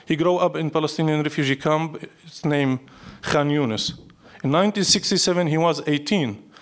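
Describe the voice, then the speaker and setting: A man speaks in a somewhat high-set voice with a Syrian Arabic accent, his native language being Arabic. He is a poet being interviewed, reflective and declarative. He builds his points in short, repeated, emphatic phrases. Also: moderate pace. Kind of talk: live interview, reflective storytelling.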